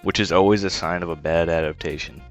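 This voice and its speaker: A man with a low voice narrates a scripted critique. His wording is blunt, disparaging and casual, and quiet music plays behind him. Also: steady tone, fast, highs cut off. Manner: blunt, disparaging, informal with swearing. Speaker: video essay host and film critic. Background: faint music.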